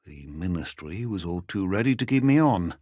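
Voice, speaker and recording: An actor playing a stern, middle-aged wizard professor in a video game, speaking formal British English in a measured, dry manner. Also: male voice, low-pitched, animated, mellow, dry recording. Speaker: professor character in a fantasy game. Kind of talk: scripted video game character dialogue.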